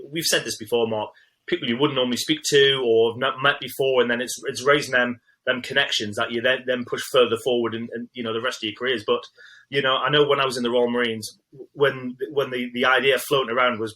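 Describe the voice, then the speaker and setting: A man's voice: medium pitch, sharp tone, poor audio. A man, a former military drummer, chatting as co-host with many restarts and fillers. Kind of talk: casual interview chat.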